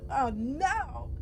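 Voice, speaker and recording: A high-pitched woman streamer with a bouncy, lively voice, chatting slowly and playfully and giggling between lines. Soft game music sits underneath her voice. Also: creaky. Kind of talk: casual gaming stream chat.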